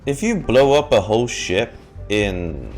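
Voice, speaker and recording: A man's voice: fairly low pitch, sing-song, unhurried, darkish tone. A man giving casual reaction commentary on a game, playfully thinking aloud and sometimes swearing. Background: game music.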